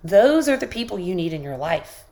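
A woman hosting a podcast, giving an encouraging motivational talk while sick with a squeaky voice. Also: very animated, normal speed, a little mellow. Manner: encouraging, coaching listeners directly. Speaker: podcast host.

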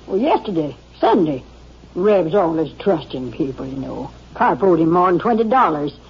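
A woman's voice: on the low side, big pitch swings, normal speed, a little hiss or hum. A woman playing a put-upon, gossipy storekeeper's wife with a rural Western American accent in a 1950s radio drama, rambling and folksy. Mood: plaintive, grumbling. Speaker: supporting actress. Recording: muffled old radio recording.

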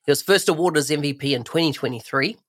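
A man's voice: fairly high pitch, quick pace. A man hosting a podcast interview, introducing and questioning in a conversational way. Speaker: podcast host.

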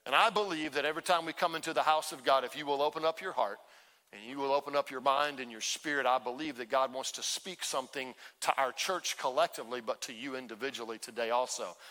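Bright, enthusiastic church pastor. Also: male voice, mid-range voice, fast talker. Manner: enthusiastic, hyping the crowd. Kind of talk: introducing a guest speaker. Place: large church sanctuary. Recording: long hall reverb.

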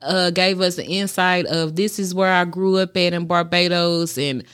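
A woman's voice: lowish voice, steady tone, moderate pace, fairly bright tone. A woman co-hosting a podcast who gives blunt, profane opinions in casual chat. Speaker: podcast co-host.

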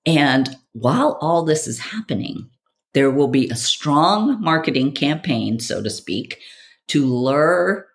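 Slow, theatrical woman telling a motivational story. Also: low-pitched, very animated. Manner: theatrical storytelling with rhetorical questions and dramatic pauses. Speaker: podcast host. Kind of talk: scripted motivational monologue.

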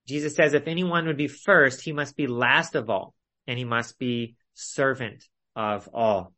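Male Bible teacher, medium-pitched and bright, delivering an explanatory sermon in deliberate, repeated phrases. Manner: didactic, measured phrasing with repetition for emphasis. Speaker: preacher leading a Bible study. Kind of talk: expository sermon with illustrations.

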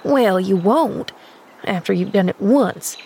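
A woman's voice: a little high, expressive, unhurried, gravelly, slight background noise. A woman voicing a terse, plain-spoken household servant in a storybook, with a sour, folksy, grumbling manner. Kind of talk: storybook character voice.

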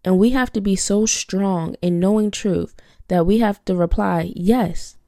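A woman's voice: medium pitch, a little slow, dark tone, no room sound, clean studio sound. A young woman hosting a faith-based podcast, congested from a cold, teaching in an earnest, preachy way and repeating her key phrases. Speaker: podcast host.

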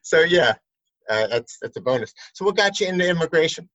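A man who works as an attorney, asking a colleague questions in a relaxed, rambling way with many fillers. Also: fairly high pitch, fairly quick, dry recording, muffled recording. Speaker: attorney and interviewer. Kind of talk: conversational chat.

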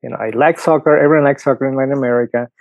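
A middle-aged priest from Peru speaks English with a Peruvian Spanish accent, working through his points slowly with fillers and everyday examples. His voice sits in a middle pitch. The recording is dry and close. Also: fairly even intonation, dry recording. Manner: thoughtful, explains with examples, frequent fillers. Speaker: priest guest. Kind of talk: podcast interview answer.

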